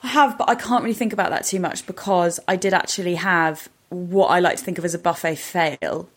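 British female co-host, fairly low voice, informal storytelling chat. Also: brisk. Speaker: podcast co-host. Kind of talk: casual chat, personal anecdotes.

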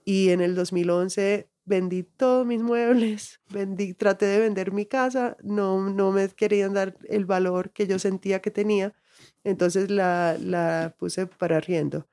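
A woman's voice: mid-range voice, steady tone, unhurried, warm tone. A middle-aged woman, raised in the United States, reflectively telling her life story as an interview guest, restarting phrases often. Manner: reflective, frequent restarts and laughs.

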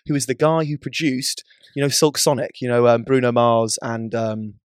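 A man co-hosting a podcast, relaxed and joking, with lots of filler words. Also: mid-range voice, moderate pace, clean tone, no echo, studio quality. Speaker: podcast co-host. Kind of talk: casual chat.

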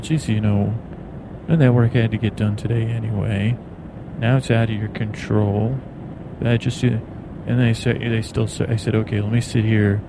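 A man hosting a bedtime podcast in a meandering, halting ramble, stammering and repeating words. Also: lowish voice, gravelly. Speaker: podcast host. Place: on an airplane in flight. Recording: steady airplane cabin noise behind voice. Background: airplane cabin drone.

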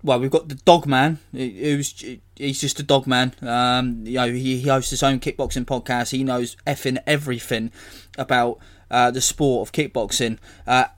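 A hoarse man, hosting a casual solo podcast and rambling as he corrects himself. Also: medium pitch, steady tone, moderate pace, few pauses. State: hoarse from illness. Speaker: podcast host.

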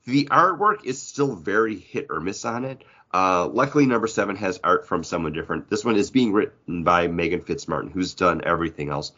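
A man's voice: low voice, expressive, fairly quick, hardly any pauses, pushed and bright, dull audio. A man co-hosting a podcast, talking in a casual, conversational style. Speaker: podcast co-host. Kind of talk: casual comic book chat.

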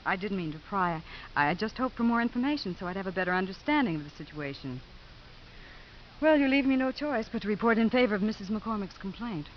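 A prim, earnest officer of the court acted by a woman in a 1950s radio play. She speaks briskly and formally, with lively intonation. The recording is dull, with faint hiss. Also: medium pitch, close to an everyday voice. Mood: prim, reproving.

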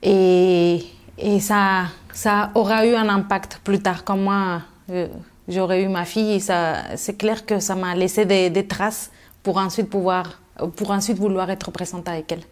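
A woman's voice: medium pitch, fairly even intonation, measured pace. A middle-aged woman from Mexico, answering interview questions thoughtfully with many fillers and restarts. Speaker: guest.

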